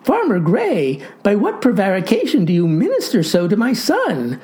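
A woman's voice: medium pitch, huge pitch swings, normal speed, warm tone, voice pushed up. A woman voicing a stern middle-aged mother who speaks in grave, florid declamations for a comic story. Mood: indignant.

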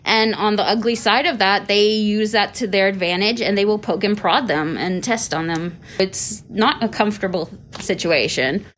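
A woman's voice: medium pitch, moderate pace, hardly any pauses, a bit bright, slight background noise. A woman speaking as an interviewee, answering in a conversational, unscripted way. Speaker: interviewee, animal rescue store manager.

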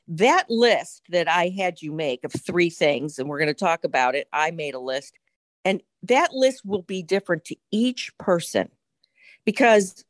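A mature woman speaks as a talk show host in a conversational, explanatory way. Her pitch moves freely as she stresses her points, and the sound is dry and close. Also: no echo. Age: middle-aged or older. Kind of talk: conversational interview.

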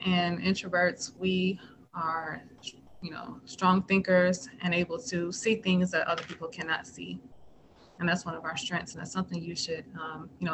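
Woman, podcast guest, naturally soft voice, lowish pitch, clear background noise. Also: rough recording. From United States. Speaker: author and speaker, guest. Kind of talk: casual interview chat.

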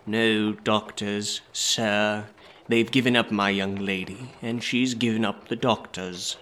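A man reading a story aloud voices a calm, haughty butler who turns callers away with slow, clipped refusals. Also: on the low side. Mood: dismissive.

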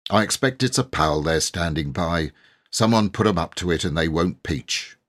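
Man as a good-natured rustic gamekeeper, low-voiced. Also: moderate pace, a bit bright. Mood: reassuring. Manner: colloquial, matter-of-fact.

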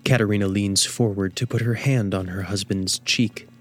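A man's voice: fairly low pitch, fairly even intonation, normal speed, soft background music. A man narrating a scripted radio drama, setting scenes and describing the action.

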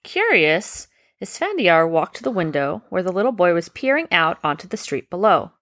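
Mom reading a bedtime story, slightly low voice, stops to explain and ask stuff. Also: female voice, very animated, moderate pace, a bit bright. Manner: explaining, checking with questions. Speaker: parent reading bedtime stories to children.

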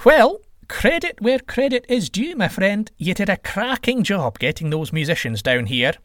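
A man's voice: high-pitched, sing-song, normal speed, a bit bright, no echo, clean studio sound, pitched above the performer's own voice. A man voicing a sly male trickster god who teases and smirks. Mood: teasing. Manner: teasing, smirking.

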